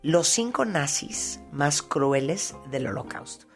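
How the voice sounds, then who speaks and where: A woman's voice: low-pitched, expressive, faint music behind. A Mexican woman hosting a talk show, reading listener messages aloud and teasing her co-hosts in casual banter. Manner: teasing, quick to laugh. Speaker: radio show host.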